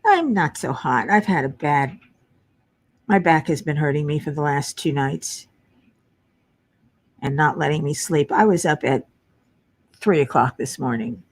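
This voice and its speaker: Host, woman, middle-aged, naturally low voice, unhurried, sleep-deprived, chatty and rambling. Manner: chatty, muttering while searching for pieces. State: tired from lack of sleep. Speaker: crafting livestream host. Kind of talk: casual live chat while diamond painting.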